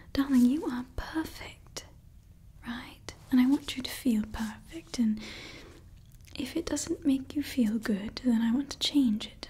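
A woman whispering slowly as a doting female scientist who builds an android, murmuring to herself and coaxing it with praise. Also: on the high side, dark tone. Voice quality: whispering, breathy. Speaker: ASMR roleplay creator. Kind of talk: ASMR roleplay.

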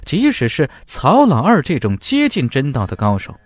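A man's voice: fairly high pitch, wildly swooping pitch, moderate pace, no room sound. A man narrating a fantasy audiobook in a dramatic scripted storytelling style. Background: faint music.

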